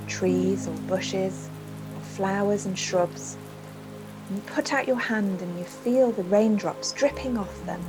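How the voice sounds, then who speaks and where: A woman's voice: medium pitch, low-quality recording. Soothing woman leading guided relaxation over music. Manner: soothing, gentle, unhurried instruction with pauses. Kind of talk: guided imagery meditation for children. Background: music and rain sounds.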